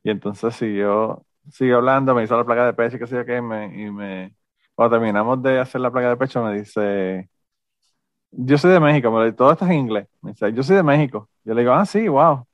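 A man's voice: mid-range voice, a little fast, no room sound. Man, Puerto Rican accent, casual chat, joking, swearing, slightly dull recording. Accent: Puerto Rican Spanish. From Puerto Rico. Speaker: former university instructor.

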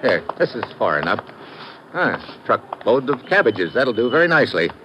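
An actor playing a tough, wry detective in an old-time radio drama, with a hardboiled, slangy delivery. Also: male voice, mid-range voice, sing-song, moderate pace, close to an everyday voice. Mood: tough, taunting. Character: tough, wry male American detective narrating his case. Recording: noisy, muffled vintage radio recording.

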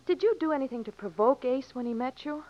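An actress playing an earnest, trusting young rancher's daughter with an American accent in an old radio western. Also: female voice, high-pitched, expressive, fast. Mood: troubled. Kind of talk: old-time radio melodramatic acting. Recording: muffled old radio recording.

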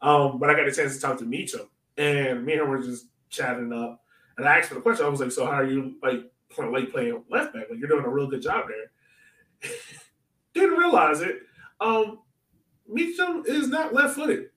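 A man's voice: medium pitch, fairly bright tone. A man co-hosting a sports podcast, chatting casually about soccer and telling stories in informal slang. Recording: roomy echo, distant from mic.

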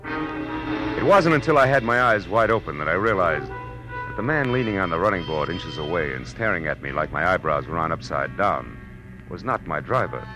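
An actor with an American accent playing a tough, wisecracking private detective in a 1940s radio drama, wry and clipped. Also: male voice, low-pitched, lively intonation, moderate pace, over music, rough recording. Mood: hard-boiled, wry. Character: hard-boiled, wisecracking male Los Angeles private detective. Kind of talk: 1940s radio acting, noir narration. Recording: old radio broadcast recording.

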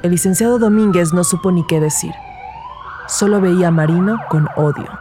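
A woman's voice: on the low side, moderate pace, background noise, natural voice. A woman playing a rookie detective who narrates her case in a scripted crime audio drama. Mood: grave, measured.

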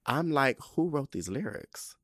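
A man in his thirties hosting his own podcast, talking casually in an animated, profane, rambling way. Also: mid-range voice, a little slow, no room sound, studio quality. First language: not Spanish. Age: 30s. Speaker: podcast host. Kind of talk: casual solo podcast talk.

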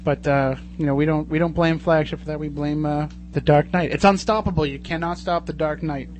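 Chatty higher-voiced man hosting talk radio. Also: steady tone, a little fast, darkish tone. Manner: joking, chatty. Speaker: radio show host. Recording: clearly noisy radio studio with hum.

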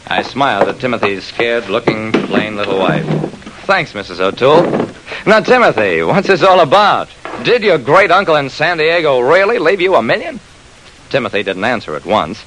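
A man's voice: medium pitch, sing-song, normal speed, a bit bright, slight background noise, low-quality recording. A man playing a terse, wry private detective who narrates his own case in an old-time radio drama. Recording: vintage radio recording.

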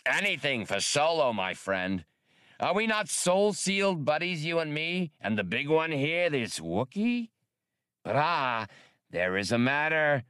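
A man reading aloud and voicing an outgoing female alien primate wheeler-dealer in a high, lilting put-on voice. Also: very animated, unhurried, bright, forceful tone, smooth, dry recording, clean studio sound, full character voice. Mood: friendly.

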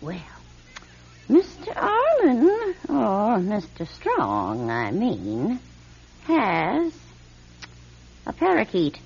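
An actress playing a nosy, smug older spinster in a radio drama, with a sly, knowing, theatrical delivery. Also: female voice, medium pitch, wildly swooping pitch, drawn-out words, vocal fry, long pauses, darkish tone, highs cut off, a little hiss or hum, full character voice. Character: nosy, smug older spinster who collects neighbours' secrets.

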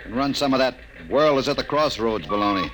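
An actor playing a gruff, impatient, middle-aged army general in an old-time radio drama, barking brusque commands. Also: male voice, medium pitch, expressive, fairly quick, lightly acted. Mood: irritated. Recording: noisy old radio broadcast recording.

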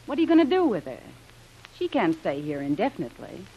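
Muffled old-radio femme fatale, animated. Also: female voice, fairly low pitch, fast talker, husky, heavily acted. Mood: jealous, scornful. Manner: sardonic, cool, insinuating. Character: sly, jealous, hard-edged adventuress. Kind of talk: 1930s radio serial acting.

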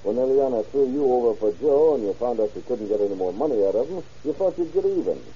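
A man's voice: medium pitch, expressive, quick pace, warm tone, husky. A man playing a stern, blunt police chief in a 1930s radio crime drama. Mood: sardonic, accusing. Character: stern, sardonic police chief questioning a suspect. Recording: muffled old radio recording.

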